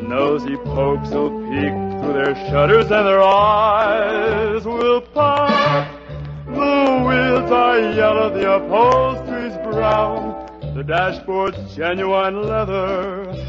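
A man sings in a 1950s big band style. His voice sits high and he phrases slowly. The old radio recording is muffled. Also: steady tone. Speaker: band singer. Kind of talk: 1950s big band show-tune singing. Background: loud band music.

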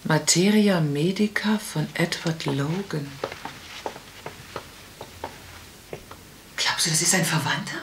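A woman playing a curious, sharp-witted amateur detective in a radio crime drama, musing and probing conversationally. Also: low voice, normal speed. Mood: curious, polite. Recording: clear background noise.

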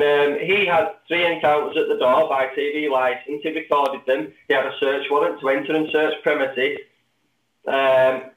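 Higher-pitched man, phone-like sound. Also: distant mic. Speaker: interview guest recounting legal cases. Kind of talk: unscripted interview talk. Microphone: webcam or device mic on video call. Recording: echoey, phone-like video call from off the mic.

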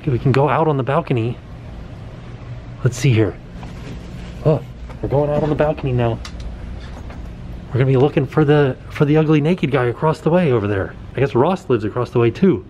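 A man in his forties talks at an average pitch while he walks a camera around a small indoor set. He sounds eager and keeps pointing out small details. A faint air conditioning hum sits under his voice. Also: poor audio. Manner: excited, pointing things out. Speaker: vlogger. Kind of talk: casual walkthrough vlog. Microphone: camera held at arm's length.

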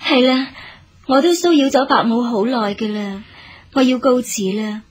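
Gracious, breathy noblewoman. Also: on the high side, normal speed. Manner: polite, considerate. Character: gracious, courteous young noblewoman, a minister's wife. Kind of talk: Cantonese radio drama acting.

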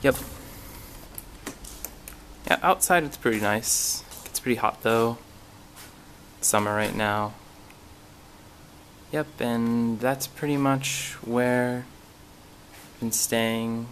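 A young man giving a casual, halting, understated walk-through tour on a handheld camera. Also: a bit bright, a little hiss or hum. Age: young adult. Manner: low-key, halting, understated. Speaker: exchange student giving a tour. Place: indoors in a student dormitory, walking through rooms.

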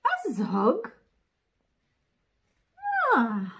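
A woman's voice: on the high side, huge pitch swings, very slow, creaky, fairly bright tone, slight background noise. A young woman reading a picture book aloud to small children in an exaggerated, playful way, with sound effects. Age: young adult. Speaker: children's storyteller.

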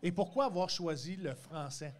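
A man's voice: high voice, normal speed. A man, hosting a podcast interview and speaking into a handheld mic in a studio. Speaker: podcast host. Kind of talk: casual interview. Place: dark podcast studio with neon lights.

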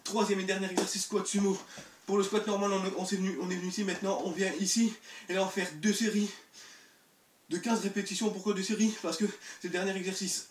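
A man's voice: high-pitched, fast talker, slight background noise. A young man coaching a home leg workout while doing it himself, counting reps and pushing viewers on between breaths. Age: young adult. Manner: energetic, encouraging, exercising while talking. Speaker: fitness youtuber. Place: bedroom at home. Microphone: camera mic from across the room. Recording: echoey room, voice from far off.

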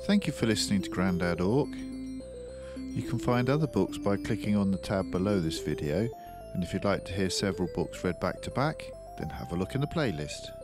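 An older man reading a children's book aloud as storyteller, unhurried and expressive. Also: low-pitched, music in the background.

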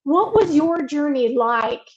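A woman with a high voice moderates a video call panel, encouraging her guests and asking each about their journey. Her sound comes through as dull, lo-fi video call audio. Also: lively intonation, takes it slowly, slight background noise. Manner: encouraging, asks guests questions.

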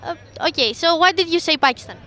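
A woman's voice: extremely high pitch, expressive, quick pace, bright, forceful tone, a faint crowd. A young woman hosting a street interview outdoors in a busy city square, asking passersby questions on a handheld mic. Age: young adult. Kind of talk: street vox-pop interview.

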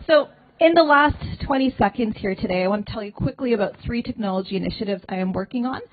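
A young woman giving an energetic conference talk on space medicine, stressing key phrases with a handheld mic. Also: medium pitch. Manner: energetic, punchy emphasis with slowed key phrases. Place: indoor wood-paneled hall, at a podium. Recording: tinny phone-like sound.

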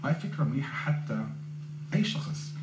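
A man's voice: mid-range voice, animated, racing. A man, a program director, speaking earnestly as a guest on a TV studio discussion. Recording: echoey TV audio with loud music bed.

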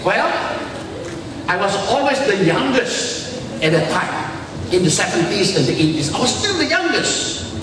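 A man's voice: sits high, sing-song, measured pace. An older man from Indonesia, speaking as a panelist at a conference and telling stories as he walks the stage with a handheld mic. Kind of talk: conference talk to a large audience. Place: large conference hall stage. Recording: echoey hall, far from the mic, noisy.

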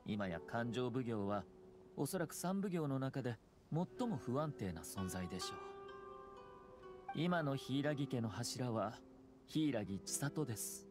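Polite scheming young clan lord, anime game, smooth formal talk, mid pitch that moves around a lot. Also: male voice, animated, takes it slowly. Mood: composed, serious. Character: poised young nobleman clan head, courteous, calculating. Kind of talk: Japanese anime game acting. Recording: game music underneath.

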